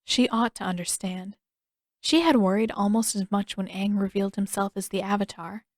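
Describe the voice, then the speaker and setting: A woman's voice: mid-range voice, normal speed, dry recording. Woman narrating fiction, dry close sound. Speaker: amateur audiobook narrator. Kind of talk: scripted story narration.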